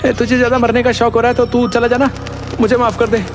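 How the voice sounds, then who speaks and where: High-pitched timid village boy. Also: level tone, fast talker. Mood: shaken. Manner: whiny, fretful protesting. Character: timid, panicky village boy, the chief's son.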